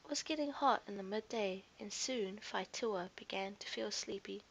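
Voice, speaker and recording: A woman's voice: mid-range voice, moderate pace, vocal fry, rough recording. A woman narrating a scripted children's story expressively, as a storyteller to young listeners.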